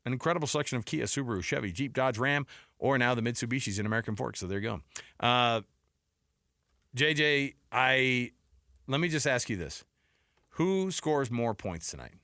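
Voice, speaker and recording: A man's voice: mid-range voice, animated, moderate pace, long pauses, bright, forceful tone, no echo. A man hosting a sports talk show in a studio, informal and bantering, moving between chat and sponsor reads. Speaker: sports radio host. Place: radio studio. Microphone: close studio microphone.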